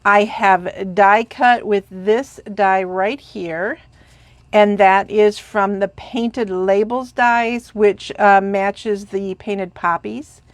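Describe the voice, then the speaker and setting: A woman's voice: mid-range voice, fairly even intonation, very slow. A congested, sniffling woman chatting through a crafting tutorial, rambling with lots of fillers and gushing about supplies. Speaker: craft demonstrator.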